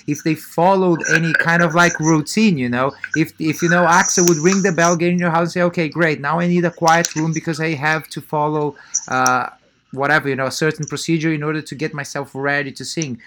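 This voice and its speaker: A man hosting an interview, with a fairly high voice and a bright, tense tone. He asks long, winding questions with plenty of fillers and restarts. A faint hiss sits under his voice. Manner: rambling, many fillers and restarts, frequent "mm-hmm". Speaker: podcast host. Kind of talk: casual interview.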